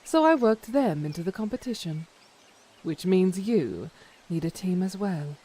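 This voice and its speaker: Lady doing a snooty scheming queen dragon, soft dark tone, voice goes up and down a lot. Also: on the low side, sing-song, measured pace, husky, heavily acted, low-quality recording. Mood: haughty. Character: haughty, scheming adult female dragon queen. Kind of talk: fan dub voice acting.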